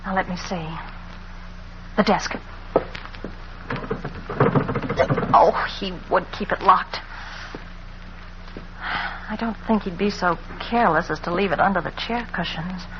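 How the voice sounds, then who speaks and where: A woman's voice: fairly low pitch, normal speed, pauses often, lightly acted. An actress playing an anxious, determined young woman sleuth in an old radio mystery, with an urgent, earnest delivery. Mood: tense, determined. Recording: muffled, noisy old radio recording.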